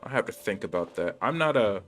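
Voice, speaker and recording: A man with a fairly low voice talks through a game on his livestream. His delivery is casual and laid-back, full of bro slang. Faint game music plays behind him. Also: animated, fairly quick, fairly bright tone. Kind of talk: casual gaming livestream chat.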